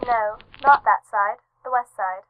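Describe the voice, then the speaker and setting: A woman's voice: a little high, a little slow, narrow, tinny audio, a little hiss or hum, close to an everyday voice. A woman playing a terse, instructing prompter voice from a tiny transistor gadget in an old-time radio drama. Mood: terse coaching.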